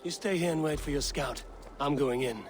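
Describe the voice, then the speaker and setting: A man's voice: fairly high pitch, normal speed, noisy background, lightly acted. A male actor voicing a level-headed Viking raider in a video game, terse and commanding. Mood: guarded, urgent. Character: stern, level-headed Viking raider, a seasoned warrior man. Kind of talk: dramatic video game acting.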